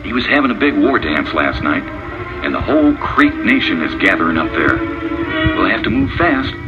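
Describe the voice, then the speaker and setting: An actor playing a plain-spoken frontier hero with a folksy drawl. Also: male voice, low-pitched, sing-song, normal speed, highs cut off. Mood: steady. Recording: voice over loud music. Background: loud background music.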